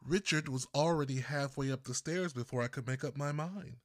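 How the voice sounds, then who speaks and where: A man from Texas reading his own first-person story as an audiobook narrator, with deliberate phrasing and pauses. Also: medium pitch, no echo, studio quality. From Texas, United States.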